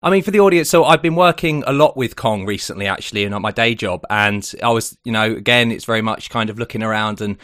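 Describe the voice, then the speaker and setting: A man's voice: lowish voice, quick pace, hardly any pauses, fairly bright tone, no room sound, studio quality. A man hosting a podcast, introducing a guest in rambling casual speech. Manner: rambling, loose phrasing with fillers. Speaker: podcast host.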